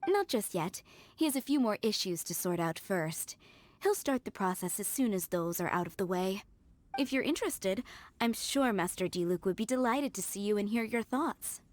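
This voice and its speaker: Game role: actress as gentle, deferential maid of a wine estate, mid-range female voice, polite and composed. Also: mid-range voice, expressive, normal speed, lightly acted. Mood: courteous, informative. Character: courteous, devoted female winery maid, gentle and poised. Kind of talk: video game voice acting. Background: music.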